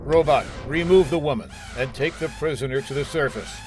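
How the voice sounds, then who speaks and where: An actor playing a brisk, authoritative military colonel in a radio drama. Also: male voice, a little high, music in the background, lightly acted. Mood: stern, commanding. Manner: authoritative, commanding. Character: brisk male military colonel commanding an underground bunker.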